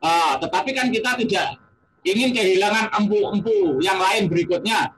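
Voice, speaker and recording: A man's voice: sits high, normal speed, a bit bright, rough recording. An Indonesian man interviewing in a conversational way, repeating phrases and seeking agreement as he builds his questions. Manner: repeats phrases, lists points, seeks agreement.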